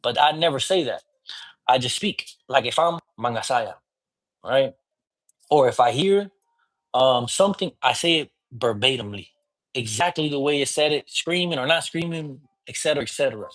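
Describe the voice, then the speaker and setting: A man speaking as a priest, teaching divination in a relaxed conversational way and often checking that the listener follows. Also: mid-range voice, normal speed. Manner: informal, often checks in with "you get me?"; mixes in Spanish words. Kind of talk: casual teaching talk.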